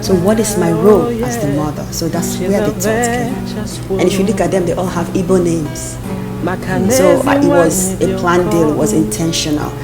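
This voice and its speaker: Earnest woman over loud music. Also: fairly low pitch, moderate pace. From Nigeria. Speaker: interview guest. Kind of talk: conversational interview.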